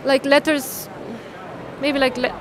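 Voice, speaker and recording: A woman speaks about her art in a fairly high voice, pausing and searching for words. She often ends phrases with a soft "no?". A busy art fair hall hums with crowd chatter behind her. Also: flat delivery, noisy background. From Mexico. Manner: thoughtful, halting, ends phrases with a questioning "no?". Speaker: artist. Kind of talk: casual conversation about her artwork.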